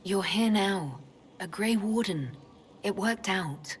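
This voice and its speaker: An actress playing a calm, perceptive woman leader in a video game, speaking in a composed, direct and supportive way. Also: mid-range voice, slow, slight background noise. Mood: reassuring. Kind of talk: dramatic video game dialogue.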